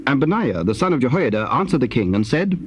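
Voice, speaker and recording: A man gives solemn narration of scripture in archaic English. His voice is of medium pitch, and clear tape noise runs through the old cassette recording. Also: sing-song, fast.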